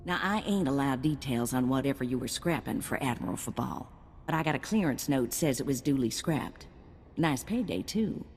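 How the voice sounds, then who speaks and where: A woman's voice: very deep voice, animated, normal speed, long reverb tail, slight background noise. A woman playing a spirited bounty handler in a video game, with a folksy, colloquial way of talking. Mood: brisk, pleased.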